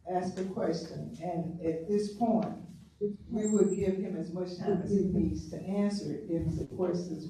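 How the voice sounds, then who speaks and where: A high-voiced man with a mellow, dark tone moderates a forum, rambling through the rules with frequent uhs. He is heard from far off in a very echoey hall, with a faint hiss under him. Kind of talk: introducing a speaker at a forum. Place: meeting hall.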